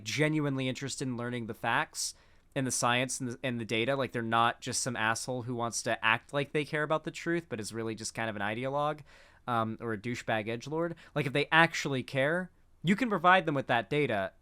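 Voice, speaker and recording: A man's voice: medium pitch, a bit bright, clean tone. A man giving casual political commentary, rambling with frequent restarts.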